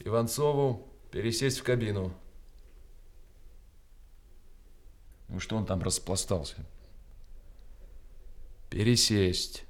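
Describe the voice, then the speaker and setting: An actor playing a steady, fatherly army major in a radio drama, giving clipped commands and calm reassurance. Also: fairly low pitch, a little slow, lightly acted. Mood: commanding, tense. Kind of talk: Soviet radio drama acting. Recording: noisy radio drama with vehicle ambience.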